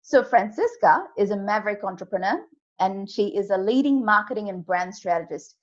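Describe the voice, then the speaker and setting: A woman's voice: mid-range voice, lively intonation, a little fast. A woman hosting a podcast interview, introducing her guest with enthusiasm and lots of praise. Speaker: podcast host. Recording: dull lo-fi sound.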